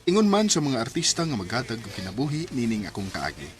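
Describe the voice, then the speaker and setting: A man narrating and acting as the lead in a radio drama, earnest and gentle in manner. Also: mid-range voice, wildly swooping pitch, quick pace, over music. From Cebu, Philippines.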